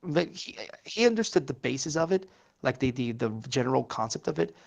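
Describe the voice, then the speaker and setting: A man's voice: a little high, lively intonation, a little fast, gravelly. A man whose first language is Portuguese, rambling through casual political chat with many fillers and curses.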